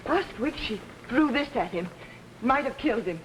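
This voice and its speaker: Woman playing scheming young pianist, highish voice, pleading melodrama, old fifties tv drama with some noise. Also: lively intonation, normal speed, lightly acted. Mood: anxious pleading. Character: ambitious young pianist, pleading and quietly manipulative. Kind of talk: early 1950s television drama acting. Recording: noisy old 1950s TV soundtrack.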